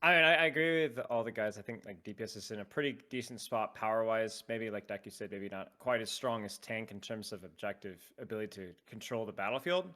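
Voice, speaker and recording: Quick-talking man of about thirty. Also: fairly low pitch, sharp tone. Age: around 30. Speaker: podcast guest. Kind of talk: casual gaming discussion.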